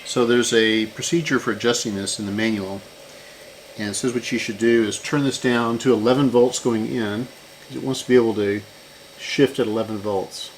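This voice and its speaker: A man's voice: medium pitch, fairly even intonation, normal speed, slight background noise, rough recording. A man giving a casual hands-on repair walkthrough, unscripted and thinking aloud as he works. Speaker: repair channel host.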